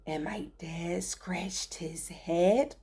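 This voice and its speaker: A woman reading a rhyming children's story aloud with theatrical, deliberate phrasing. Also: fairly low pitch, fairly even intonation, dark tone.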